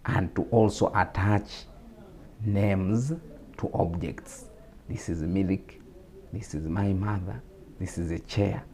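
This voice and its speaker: Deep-voiced Ugandan man explaining. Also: fairly even intonation, warm tone. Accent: Ugandan English. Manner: measured, explanatory, with repetitions and fillers. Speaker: guest speaker on religious talk show. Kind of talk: explanatory talk show discussion.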